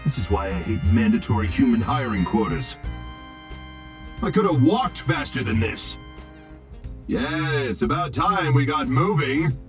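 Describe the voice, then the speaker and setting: Arrogant robot movie director for a game: highish pitch, pompous, exasperated, music underneath. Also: male voice. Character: arrogant, impatient robot Hollywood film director, pompous diva. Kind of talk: video game character acting.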